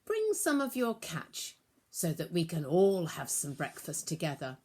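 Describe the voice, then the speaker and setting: Storybook part: kindly, encouraging male teacher played by a female reader, slow, deliberate, gentle instruction. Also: deep voice, sing-song, slightly rough, exaggerated voice.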